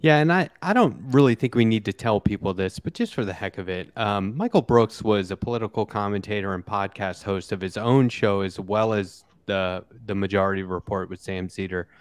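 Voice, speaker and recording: A man hosting a casual political podcast, talking in a halting, conversational way as he interviews his guests. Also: fairly low pitch, clean tone, no room sound, studio quality.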